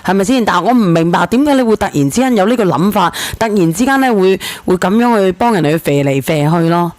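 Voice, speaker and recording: A woman's voice: mid-range voice, animated, fast talker, darkish tone, dry recording. A woman hosts a call-in show in speech, asking probing questions in a casual way. The sound is dry and close, with hardly any room on it.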